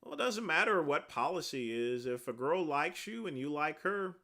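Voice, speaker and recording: Lively deep-voiced man chatting on a livestream. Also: normal speed. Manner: rambling, answers viewer questions one by one with many uh fillers. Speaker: livestream host answering chat. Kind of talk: casual livestream chat.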